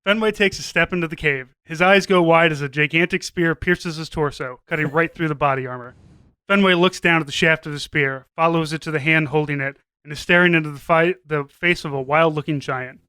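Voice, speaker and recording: A male podcast narrator reading a comic script. His voice is high, bright and clean, with very little room sound around it. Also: fairly quick, clean tone, no room sound.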